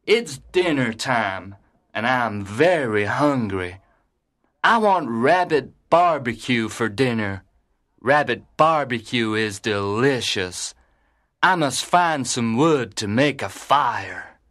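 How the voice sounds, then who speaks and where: A man voicing a sly, hungry male fox villain in a storybook, with a gloating, deliberate delivery. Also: mid-range voice, lively intonation, fairly bright tone. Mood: hungry, scheming. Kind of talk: storybook character voice.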